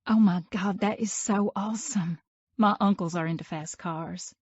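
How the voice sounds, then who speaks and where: A man's voice: high voice, a little slow, darkish tone, no room sound, highs cut off, pitched above the performer's own voice. A man putting on a sassy teenage girl.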